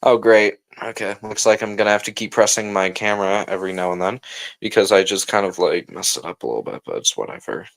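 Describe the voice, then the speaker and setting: A man's voice: fairly low pitch, fast, creaky, fairly bright tone. A man hosting a livestream, chatting casually and informally with slang as he reacts and talks to viewers. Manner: informal slangy talk, often saying bro. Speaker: livestream host.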